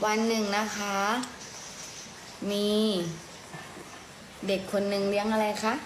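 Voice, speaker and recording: A woman in a mother's role, telling simple stories to a small child in a casual, coaxing way through gentle questions. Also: mid-range voice, completely flat delivery, slow. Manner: coaxing, question-led, with polite particles. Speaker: mother prompting her young child. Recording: echoey room with clear background noise.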